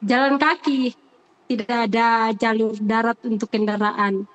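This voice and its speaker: A woman's voice: on the high side, unhurried, dull audio. A woman, a teacher answering interview questions off the cuff, earnest and hesitant, often repeating her phrases.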